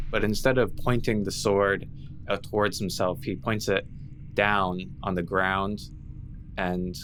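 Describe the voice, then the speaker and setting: A man playing a tabletop roleplaying game, deliberately narrating his character's actions. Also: sits low, a bit bright, a little hiss or hum.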